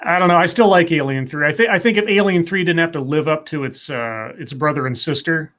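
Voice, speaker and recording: Chatty man with a slightly high voice. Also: fairly quick, fairly bright tone. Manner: chatty, swears casually, repeats words. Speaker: podcast co-host. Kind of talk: casual chat.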